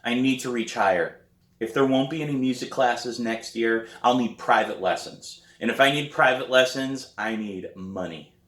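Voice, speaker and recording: A man reading a novel aloud as its author, with expressive, deliberate and dramatic storybook narration. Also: medium pitch, roomy sound.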